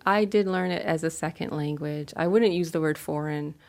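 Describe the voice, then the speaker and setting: A woman in her fifties giving interview answers in a thoughtful, halting way, with some fillers. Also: low-pitched, gravelly. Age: 50s. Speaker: interviewee, cultural center worker.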